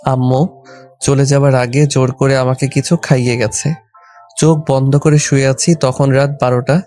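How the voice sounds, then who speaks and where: A man's voice: mid-range voice, flat delivery, moderate pace, dark tone. Man narrating a story over music. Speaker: narrator and lead character of an audio love story. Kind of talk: scripted first-person story narration. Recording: background music under the voice.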